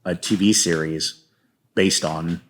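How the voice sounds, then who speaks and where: A middle-aged American man with a fairly low voice talks casually as a guest in an interview. He is earnest and talkative, with a storyteller's way of explaining things. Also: fairly even intonation, takes it slowly, fairly bright tone, slight background noise. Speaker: podcast guest, filmmaker and puppeteer.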